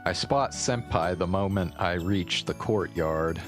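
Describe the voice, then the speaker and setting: Deep-voiced man reading a story aloud. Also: a little slow, over music. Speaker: streamer reading visual novel aloud. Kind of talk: reading visual novel narration aloud.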